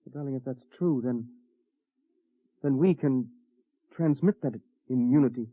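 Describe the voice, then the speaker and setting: A man voicing a cautious young husband in a vintage radio play. He talks hesitantly, and he sounds doubtful. His voice is mid-pitched, and the sound is dry and thin, like a telephone. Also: measured pace, no echo, natural voice. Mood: tender. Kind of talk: 1950s radio drama acting. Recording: tinny, dry old radio broadcast.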